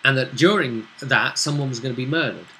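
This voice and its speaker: A man's voice: mid-range voice, fairly quick, pushed and bright, slight background noise, rough recording. A man hosting as game master, chatting casually as he recaps a tabletop roleplay campaign. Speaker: game master and show host.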